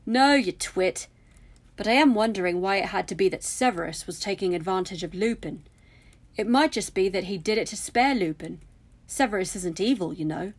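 A woman's voice: medium pitch, normal speed, close to an everyday voice. A smug young wizard lad from a storybook, played by a woman reading aloud. He drawls his words in a snide, needling way, teasing and self-satisfied. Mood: dismissive.

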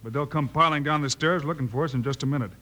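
An actor playing a brave, steady Old West marshal in a radio western, American accent, giving brisk orders. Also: male voice, on the high side. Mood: commanding. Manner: brisk, commanding, clipped orders. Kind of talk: 1950s western radio acting. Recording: faintly noisy old radio recording.

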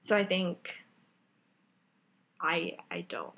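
A young woman co-hosting a podcast in casual chat, with a loud voice that projects and an energetic way of talking. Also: lowish voice, extremely slow, poor audio. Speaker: podcast co-host.

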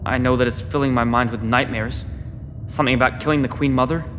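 An actor playing a stubborn, defiant teenage captive in a sci-fi audio drama, with terse, confrontational delivery. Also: male voice, mid-range voice, steady tone, fast talker, background noise, lightly acted. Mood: guarded, frustrated. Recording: long hall reverb under prominent music.

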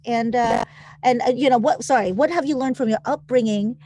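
A woman's voice: fairly high pitch, a little fast, mellow, no room sound. A woman interviewing a guest, asking casual questions that stall and restart as she works out what she wants to ask.

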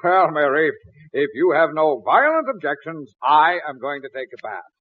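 A man's voice: a little high, sing-song, moderate pace. An American actor playing a fussy, blustery middle-aged father in 1940s radio comedy, sputtering and theatrical. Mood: jovial. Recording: thin, tinny 1940s radio broadcast.

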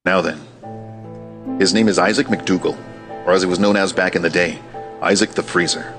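A man's voice: low voice, fairly even intonation, normal speed, over music, lightly acted. A man playing a composed, sardonic military colonel in an anime fan dub, dry and authoritative. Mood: businesslike.

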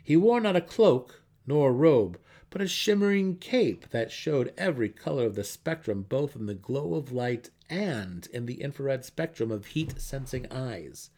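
A man's voice: mid-range voice, animated, a little slow, few pauses, warm tone. A man reading a fantasy novel as a narrator in a theatrical, emphatic way. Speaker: audiobook narrator.

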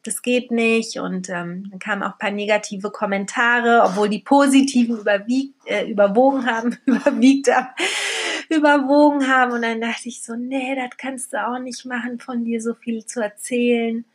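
A woman's voice: fairly high pitch, fairly even intonation, moderate pace, breathy edge. Chatty, candid woman from Berlin. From Berlin, Germany. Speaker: vlogger and storyteller. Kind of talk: casual personal vlog chat.

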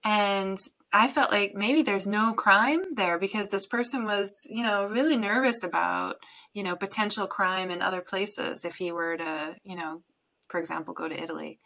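A woman's voice: medium pitch, moderate pace, sharp tone. A woman hosting a podcast, talking thoughtfully and a little ramblingly with many fillers. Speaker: podcast host. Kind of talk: conversational interview.

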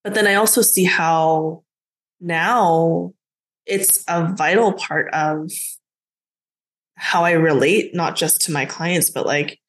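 A woman's voice: on the low side, slow pace, poor audio. A woman from the United States talking as a guest, reflective and casual, with many "like" fillers. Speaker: business mentor, guest. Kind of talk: casual conversation.

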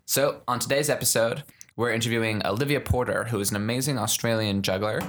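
Man co-host, mid pitch, upbeat and enthusiastic, casual interviewer. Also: steady tone, fast, a little hiss or hum. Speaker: podcast co-host. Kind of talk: casual podcast chat, introducing guest.

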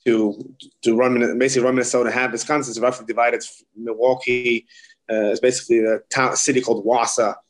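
A man's voice: mid-range voice, little pitch movement, brisk, low-quality recording. A man in his forties from the Netherlands with a Dutch accent, a rabbi talking casually in an interview, often repeating words and restarting. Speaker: kosher supervision rabbi.

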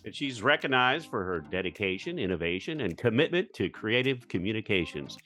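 Low-voiced guy cohosting, earnest intros, asks the guest questions. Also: very animated, a little fast, sharp tone, soft background music. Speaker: podcast co-host. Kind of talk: podcast interview hosting.

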